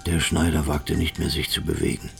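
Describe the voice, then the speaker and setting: A man narrating a radio crime drama as a grave storyteller, measured and suspenseful. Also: very deep voice, little pitch movement, creaky, faint music behind, heavily acted.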